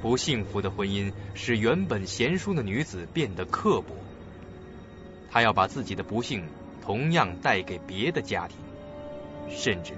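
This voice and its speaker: A man narrating a radio drama in an expressive, suspenseful, scripted style. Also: lowish voice. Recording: dull lo-fi audio, faint music.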